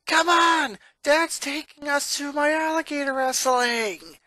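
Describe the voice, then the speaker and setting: A man voicing a bossy, excitable six-year-old tomboy girl who talks loudly and urgently. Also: very high-pitched, measured pace, dry recording, studio quality, pitched above the performer's own voice, exaggerated voice. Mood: excited. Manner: urgent, loud.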